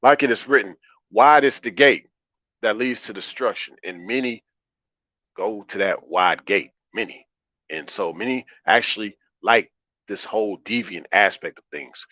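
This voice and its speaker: A man with a low baritone hosts a livestream in a calm, relaxed way. He talks conversationally to his chat and repeats phrases to drive them home. The sound is slightly muffled and lo-fi. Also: measured pace. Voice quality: deep baritone. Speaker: livestream host. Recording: roomy, slightly dull lo-fi audio.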